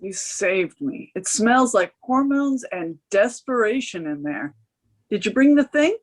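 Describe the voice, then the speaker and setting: A woman's voice: mid-range voice, animated, unhurried. A woman acting as a dry, sardonic tech office worker in a screenplay table read. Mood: wry. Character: wry, sardonic female tech office worker helping a friend.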